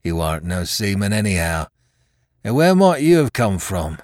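Man acting out a rough, sly pirate, swooping pitch. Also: sing-song, moderate pace, darkish tone, dry recording, clean studio sound. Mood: sour. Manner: rustic ungrammatical sailor talk. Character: wounded, sly, rough old pirate seaman. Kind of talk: storybook character voice in audiobook.

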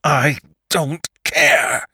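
A man voicing a murderous, desperate walking corpse in a storybook reading, full of hate, with slow growled threats that can break into screams. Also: rumbling low, vocal fry, a bit bright, a little hiss or hum, pitched below the performer's own voice, full character voice. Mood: desperate rage. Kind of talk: dramatic storybook narration.

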